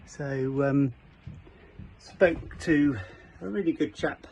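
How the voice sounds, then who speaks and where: Muffled camera sound, faint background noise, man, relaxed dark tone, informal how-to commentary, hesitant phrasing. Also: mid-range voice, slow pace, vocal fry. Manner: informal, hesitant, feeling for words while working. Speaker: presenter of a boat fitting shop's how-to videos. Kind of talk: hands-on DIY walkthrough, informal commentary. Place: aboard a small cabin motorboat.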